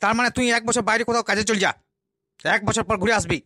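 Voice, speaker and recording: A man's voice: high voice, fast talker, sharp tone. A man voicing a sly, self-assured fake holy man in a comic cartoon, with a condescending manner. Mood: sly, commanding. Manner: commanding, condescending guru talk.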